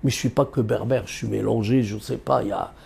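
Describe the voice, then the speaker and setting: Earnest halting man, mellow voice. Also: on the low side, steady tone, gravelly. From Algeria. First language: Berber. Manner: earnest, halting with many fillers and restarts. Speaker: economist interviewee. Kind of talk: unscripted interview.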